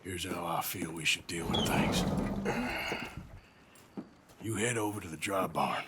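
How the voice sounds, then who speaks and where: A man's voice: low-pitched, measured pace. A man playing a plain-spoken, wry American outlaw gunman in a video game, with a dry manner. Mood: determined. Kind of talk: video game cutscene acting. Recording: loud background noise.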